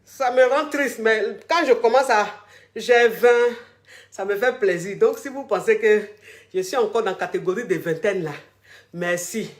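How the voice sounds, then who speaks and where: A man's voice: high voice, a little slow. A man, a relationship coach talking casually to followers on a live stream. He speaks effusively and repeats his phrases over and over. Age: 40s. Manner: effusive, repeats phrases, tags many sentences with a filler word.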